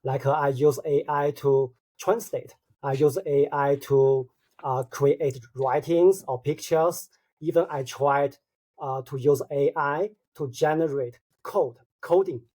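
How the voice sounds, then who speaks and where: A man with a Chinese accent, speaking as a guest on a podcast. His voice is mellow and a bit higher than usual for a man. He talks haltingly and often pauses on "uh.". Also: steady tone. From China. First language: Mandarin. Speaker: linguist guest.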